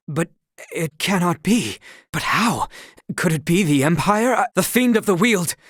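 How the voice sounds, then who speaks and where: An earnest young knight guard. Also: male voice, sits high, lively intonation, unhurried, a bit bright, no echo, studio quality, full character voice. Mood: alarmed. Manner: formal, old-fashioned speech; dutiful and protective. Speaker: knight protecting a princess. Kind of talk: video game voice acting.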